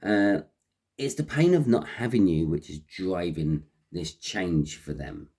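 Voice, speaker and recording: A man with a croaky voice and a head cold, giving an unscripted tarot reading in a halting, repetitive way. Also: sits low, expressive, mellow. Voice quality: croaky, husky. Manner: halting, repeating phrases as he explains. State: has a cold with a runny nose. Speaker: tarot reader and host.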